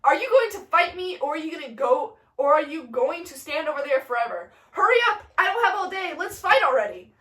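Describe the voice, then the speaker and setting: Woman acting cocky young male brawler, echoey and high. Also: animated, fairly quick, few pauses, fairly bright tone. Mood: taunting. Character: Mercutio, cocky taunting young male brawler, melodramatic when wounded. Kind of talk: amateur retelling of a stage tragedy. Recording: echoey room, distant microphone.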